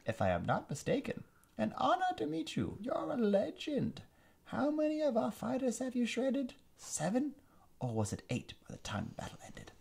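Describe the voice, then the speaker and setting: A sneering, gloating alien warlord, villainous and cruel, as a man puts him on in a read-aloud storybook. The voice sits high above the reader's own and swoops widely, with a gravelly rasp, slow and savoring every threat in a mock-British lilt. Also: huge pitch swings, dark tone, heavily acted. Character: gloating evil alien male warlord commander.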